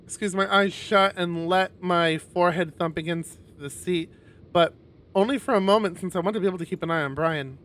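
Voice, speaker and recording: A man reading a visual novel aloud on a stream in an expressive narrating style. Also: high voice, a little fast.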